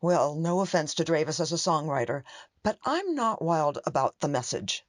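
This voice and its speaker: A prickly middle-aged mother acted by a woman in a radio play. Her low voice moves expressively. She is dry, pointed and politely sarcastic. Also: expressive, normal speed.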